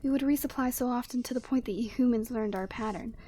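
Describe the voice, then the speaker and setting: A woman voicing a proud, lonely yeti woman in an ASMR roleplay, commanding yet tender and teasing, often slipping into breathy whispers. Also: fairly high pitch, quick pace, dark tone, slight background noise, lightly acted. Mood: sad, wistful. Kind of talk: ASMR roleplay, close and slow character acting.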